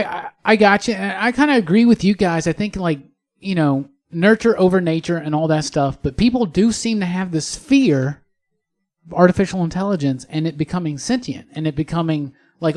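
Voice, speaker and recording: A man's voice: sits high, brisk. A man co-hosting a casual panel discussion in a studio, musing earnestly and repeating phrases for emphasis. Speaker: podcast co-host. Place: podcast studio.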